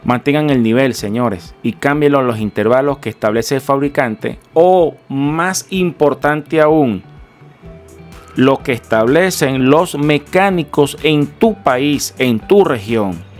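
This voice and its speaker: A man's voice: medium pitch, lively intonation, slow, soft background music. A middle-aged Venezuelan man, a mechanic, giving an earnest, emphatic car-care lesson in a Venezuelan Spanish accent and urging viewers directly. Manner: earnest, emphatic, repeatedly addressing viewers as gentlemen. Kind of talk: instructional workshop talk. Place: small home room, indoors. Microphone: headset.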